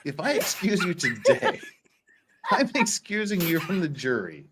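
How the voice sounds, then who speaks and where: A man hosting a casual comic read-through, a little halting, slipping between reading aloud and jokey asides. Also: a little high, wildly swooping pitch, background noise. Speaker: host.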